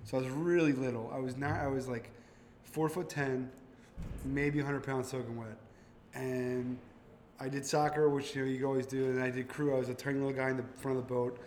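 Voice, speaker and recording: A man's voice: medium pitch, a little hiss or hum. A man hosting a casual podcast, talking animatedly and acting out quotes while telling stories. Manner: fast and animated, acts out quotes from his own stories. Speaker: podcast host. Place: brewery taproom.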